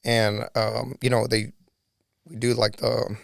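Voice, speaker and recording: A man with a raspy voice, a podcast guest telling his life story in an earnest, reflective way. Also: medium pitch, level tone, slow, no room sound, studio quality. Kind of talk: casual storytelling conversation.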